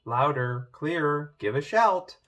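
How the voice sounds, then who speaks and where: A man's voice: on the high side, animated, slow pace, fairly bright tone. A man voicing a small, brave little pig who scolds firmly and bossily in a children's storybook. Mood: bossy. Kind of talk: children's storybook read-aloud.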